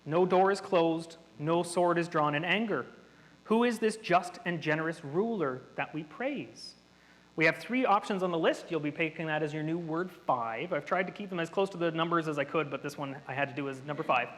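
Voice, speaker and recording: A man's voice: on the high side, normal speed, fairly bright tone. A man running an interactive workshop, explaining things in a chatty, nerdy way to a room of participants. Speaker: workshop presenter. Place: hall with an audience. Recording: reverberant hall.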